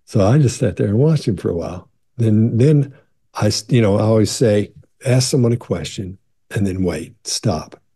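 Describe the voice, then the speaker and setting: Older man, sixties, gravelly and husky, dark low voice; casual webcam Q&A, chatty and reassuring. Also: lively intonation, normal speed. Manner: conversational, repeats and restarts, leans into reassurance. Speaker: body language expert. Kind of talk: casual Q&A talk to camera. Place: home office, indoors.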